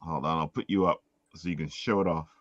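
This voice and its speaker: A man's voice: rumbling low, steady tone, brisk, no room sound, highs cut off. A man hosting a casual chat in an enthusiastic, slangy way. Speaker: interview show host.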